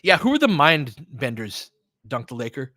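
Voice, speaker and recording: A man's voice: medium pitch, big pitch swings, normal speed, pushed and bright, no room sound, studio quality. An American man calling basketball play-by-play in an informal, conversational, opinionated way, mixed with casual fan chat. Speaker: play-by-play host of a fan stream.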